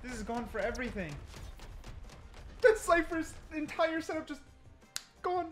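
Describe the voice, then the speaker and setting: Guy testing stuff in a video game, super animated pitch swings, excitable, repeats himself, thinks out loud, music behind. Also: a little slow. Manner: repetitive, exclamatory, thinking aloud. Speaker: gaming content creator testing game mechanics. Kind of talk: excited gameplay commentary.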